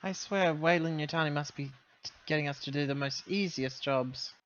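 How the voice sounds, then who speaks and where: A man's voice: fairly high pitch, normal speed, a bit bright, highs cut off. A man chatting casually as a player in a tabletop roleplaying game.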